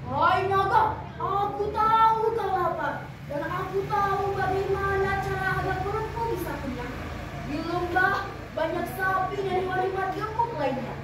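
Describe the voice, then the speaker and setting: A girl playing a kind, mighty old grandfather from a folk tale, calm and gentle, in a high voice. It echoes strongly through a large hall, heard from afar over background noise. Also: moderate pace. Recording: echoey hall, from far off, noisy.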